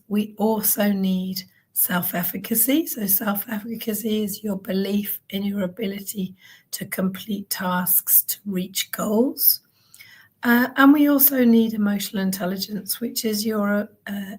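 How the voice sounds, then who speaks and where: Hoarse woman speaker, earnest conference talk, middle pitch, ums along the way. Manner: warm, earnest, with frequent ums. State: croaky, hoarse voice. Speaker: keynote speaker on child wellbeing. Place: event stage.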